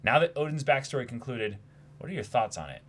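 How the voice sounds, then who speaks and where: An animated man co-hosts a livestream in a very lively, swooping voice. He chats casually and reads out messages from viewers. When he thinks aloud his delivery gets halting. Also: medium pitch, a little hiss or hum. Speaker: livestream co-host.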